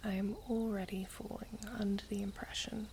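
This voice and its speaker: Woman acting as elven princess, breathy whisper, low-leaning fry, wistful, formal phrasing, ASMR roleplay, faint noise behind. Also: little pitch movement, natural voice. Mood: wistful longing. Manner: slow, hushed, formal storybook phrasing. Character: gentle, wistful elven princess, guarded yet tender. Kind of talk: ASMR roleplay, soft whispery close speech.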